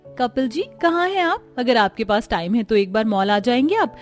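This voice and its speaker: A woman's voice: a little high, big pitch swings, normal speed, soft background music. A woman voicing a haughty, boastful rich young bride-to-be in a cartoon, talking in a showy, condescending way. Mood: excited.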